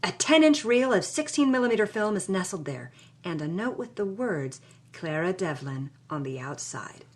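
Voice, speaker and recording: A woman's voice: medium pitch, big pitch swings, normal speed, darkish tone, close to an everyday voice. A woman playing a plucky, jaded 1950s Hollywood starlet, narrating her own story in a wry, punchy noir style. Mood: excited, curious. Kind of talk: first-person noir-style scripted narration.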